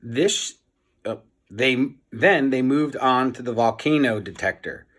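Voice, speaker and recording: A man's voice: medium pitch, takes it slowly, a bit bright. A man narrating a children's storybook aloud, as a teacher reading for young listeners.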